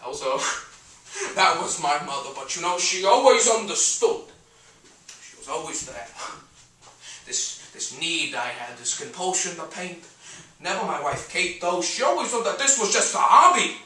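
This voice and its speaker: A brash, theatrical middle-aged male comedian-painter holds forth in a hammy monologue. His voice is high and bright, lurching from stammered muttering to bellowing. It is picked up from a distance in an echoey room. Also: expressive, a little slow. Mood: nostalgic storytelling. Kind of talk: one-man dramatic monologue on stage.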